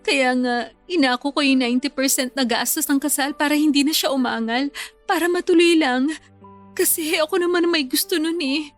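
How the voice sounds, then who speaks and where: Woman acting a high-voiced, heartbroken young bride-to-be. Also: normal speed, soft background music, close to an everyday voice. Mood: plaintive, insistent. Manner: plaintive, confiding, tearful. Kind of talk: Filipino radio drama acting.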